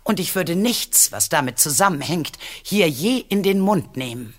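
A woman's voice: lowish voice, animated, moderate pace. An actress playing a worried, secretive woman researcher in an audio drama, speaking earnestly and precisely. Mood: worried, guarded.

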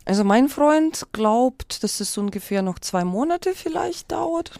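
A woman's voice: fairly high pitch, expressive, measured pace, creaky, darkish tone, dry recording, studio quality. A woman from Ukraine, a native speaker of Russian and Ukrainian, talking casually and reflectively as an interview guest, often searching for words and breaking off mid-thought. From Kyiv, Ukraine.

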